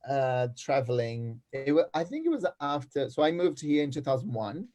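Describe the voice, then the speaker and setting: A middle-aged Brazilian man, a native Portuguese speaker with a Brazilian Portuguese accent, chatting as a guest on a video call, animated, laughing often and restarting his sentences. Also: medium pitch, moderate pace. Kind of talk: casual video call chat.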